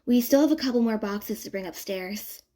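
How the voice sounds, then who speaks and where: A woman reads a bossy young woman in a story. She is sassy and no-nonsense, pitched a little up, with brisk roommate authority. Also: dark tone, natural voice.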